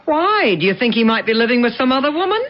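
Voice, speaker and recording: An actress playing a sharp-tongued, nagging wife in an early eighties radio drama, biting and impatient. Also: female voice, fairly high pitch, very animated, fast. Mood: curt, suspicious. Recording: muffled, noisy old radio broadcast.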